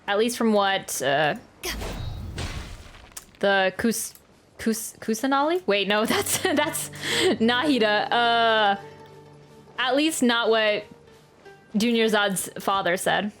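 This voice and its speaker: A woman gives casual live commentary on a game. Her voice is fairly high and her delivery slow, thinking aloud and circling back over phrases. Game music plays behind her. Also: animated, fairly bright tone. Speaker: game streamer.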